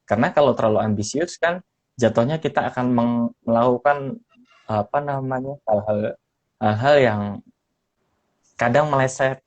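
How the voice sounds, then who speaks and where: A man's voice: medium pitch, normal speed, long pauses, muffled recording. Hesitant man with mixed Indonesian accent. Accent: neutral Indonesian with slight mixed regional traces. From Indonesia, Semarang. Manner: hesitant, many fillers and restarts. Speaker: podcast guest, former radio announcer. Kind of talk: casual interview chat.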